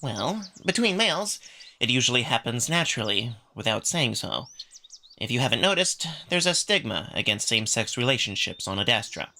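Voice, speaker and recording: Man acting male cat character, bright voice, swooping pitch, polite, sly, teasing, visual novel drama, background noise. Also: very animated, normal speed, vocal fry, heavily acted. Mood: guarded.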